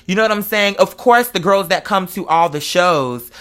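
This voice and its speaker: Male vlog host, high-pitched, forceful bright tone; emphatic, slang-heavy confessional chat that wanders off on tangents. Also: normal speed, clean tone. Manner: rambling, digressive. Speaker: online show host. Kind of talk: casual confessional chat to followers.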